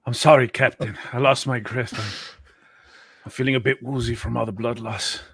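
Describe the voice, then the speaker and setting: A man's voice: medium pitch, steady tone, normal speed, fairly bright tone, husky, dry recording. A man playing a tabletop roleplaying game, casually speaking and acting as his character.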